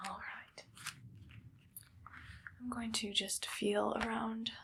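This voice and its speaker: A woman playing a menacing, irritable evil scientist in an ASMR roleplay, whispering bossy orders and deadpan threats with a breathy, creaky edge. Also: a little high, slow pace, noisy background. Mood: bossy, suspicious.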